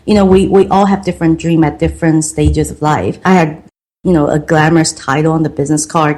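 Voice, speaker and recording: Woman, Taiwanese accent, middle-aged, earnest, reflective, lowish voice. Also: darkish tone. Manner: earnest, reflective, slow and careful. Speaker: guest, marketing consultant. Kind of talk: podcast interview storytelling.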